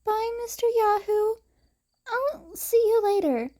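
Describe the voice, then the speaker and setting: Small girl for story audio, acted by a woman: very high, timid, sweet, halting delivery. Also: warm tone. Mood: tearful, sad. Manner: hesitant, stammering.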